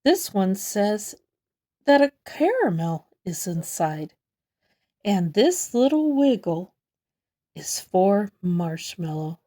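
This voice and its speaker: A woman reads a picture book aloud for young children. Her voice is soft-edged and mellow, moving slowly with animated, expressive pitch. She leans on key words as she tells the story. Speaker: storybook reader. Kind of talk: children's read-aloud narration.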